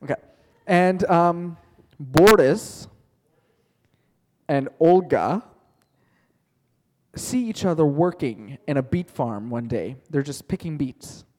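Male teacher, playful class story, slow unhurried talk, slightly raised voice, echoey classroom. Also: fairly high pitch, lively intonation. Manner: chatty, joking, calls on students by name.

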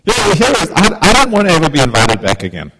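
A man's voice: fairly high pitch, wildly swooping pitch, quick pace, noisy background. A middle-aged man from Zimbabwe, giving an informal, heartfelt sermon as a guest preacher, often repeating words as he starts a phrase.

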